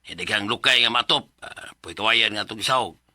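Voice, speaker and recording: A man's voice: fairly low pitch, fairly quick, pushed and bright, no room sound. A man acting a helpful, folksy older uncle in a Cebuano Filipino radio drama. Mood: practical, helpful.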